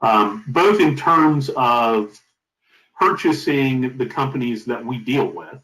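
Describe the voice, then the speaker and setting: A middle-aged man being interviewed as a company founder, giving advice in an emphatic, deliberate conversational way. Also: medium pitch, animated, a bit bright. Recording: roomy, echoing sound.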